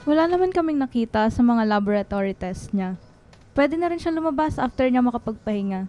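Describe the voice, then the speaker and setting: A woman playing a calm, professional doctor in a radio drama. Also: a little high, fairly quick, dull audio, close to an everyday voice. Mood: calm, explaining.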